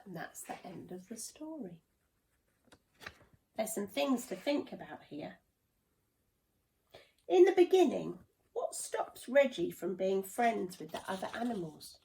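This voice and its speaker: Woman, children's storyteller, gentle, questioning, swooping intonation, slightly creaky voice. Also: mid-range voice, very animated, unhurried, long pauses, a little hiss or hum, poor audio. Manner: gentle, questioning, addressing young listeners. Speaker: play therapist reading a children's story. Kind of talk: expressive children's storytelling and explanation.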